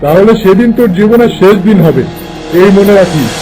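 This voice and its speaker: A man's voice: high-pitched, expressive, a little fast, music in the background, poor audio. A man voicing a stern, menacing village landlord and protective father in a fairy-tale cartoon. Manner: imperious, menacing.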